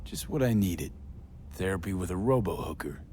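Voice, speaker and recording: A man's voice: sits low, lively intonation, moderate pace, dark tone, a little hiss or hum. An actor playing a hardened, weary male mercenary in a game cutscene, speaking in terse, sardonic, clipped lines. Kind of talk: video game cutscene acting.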